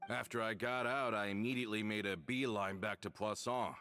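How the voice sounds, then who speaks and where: A man voicing a hearty, boisterous gang member in a video game, speaking loudly and jovially. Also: fairly low pitch, fairly even intonation, fairly quick, slight background noise. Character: rough-and-tumble male gang member, boisterous.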